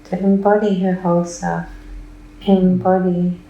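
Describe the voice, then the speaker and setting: A woman answering questions in a hypnosis session, trance-like and detached, in short, deliberate declarative sentences. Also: fairly low pitch, little pitch movement, dark tone, lo-fi sound, a little hiss or hum. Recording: echoey room.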